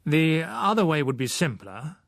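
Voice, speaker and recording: A man's voice: fairly high pitch, very animated, unhurried, gravelly, fairly bright tone, dry recording, pitched above the performer's own voice, exaggerated voice. A male narrator plays an urbane managing director in an audiobook. He is formal and cautious, speaking in a reflective, guarded way. The recording is dry, with no room sound. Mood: shrewd, reflective. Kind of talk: audiobook character voice.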